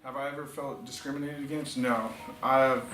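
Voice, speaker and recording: Plainspoken man, flat middle voice. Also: medium pitch, moderate pace. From San Diego, California. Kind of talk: documentary interview answers. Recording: echoey room, distant mic, music bed.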